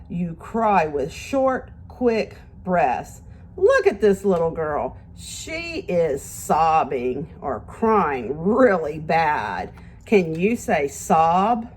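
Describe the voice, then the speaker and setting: A woman's voice: fairly low pitch, a little mellow. A woman teacher giving a read-aloud lesson to young children, speaking expressively in simple words and addressing them directly with questions and praise.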